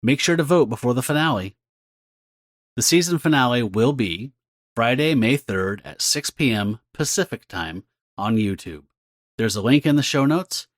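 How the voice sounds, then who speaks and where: A man's voice: medium pitch, animated, moderate pace, dry recording. Man hosting a podcast, close dry sound. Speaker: podcast host. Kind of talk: scripted podcast announcement.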